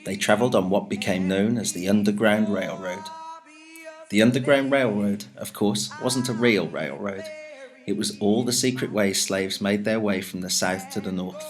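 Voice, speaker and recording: A man narrating a scripted historical afterword in a measured, explanatory reading, recorded in a school studio. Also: lowish voice. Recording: narration over loud music. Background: music playing under the voice.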